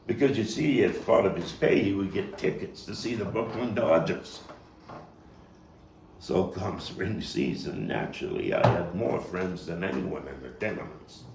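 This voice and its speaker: A man performing a nostalgic Brooklyn man looking back and searching his soul in a stage monologue. The voice is very low and unhurried, swinging widely between hushed and emphatic, with halting repeats. It is heard from a distance in an echoey room. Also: animated. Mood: wistful, tender. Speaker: playwright and actor. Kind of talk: dramatic stage monologue at a table read. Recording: distant mic, roomy echo, faint noise.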